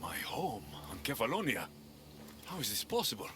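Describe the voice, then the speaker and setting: A man's voice: mid-range voice, wildly swooping pitch, normal speed. A man playing a determined, fierce mercenary hero of ancient Greece in a video game, with an intense, commanding delivery. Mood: bewildered. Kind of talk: dramatic video game acting.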